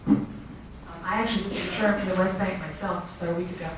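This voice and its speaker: A woman's voice: lowish voice, fairly even intonation, fast. A woman asking a thoughtful question from the audience at a public talk, with some hesitation. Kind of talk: question from the floor. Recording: echoey hall, distant mic, noise.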